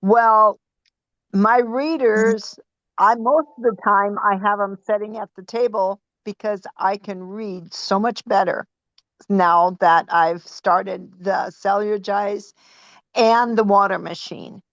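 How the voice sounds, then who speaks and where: Woman calling in, slow halting talk, dull dry audio. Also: mid-range voice, steady tone, fairly bright tone, no room sound. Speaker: caller sharing a testimonial. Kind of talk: casual testimony over a call.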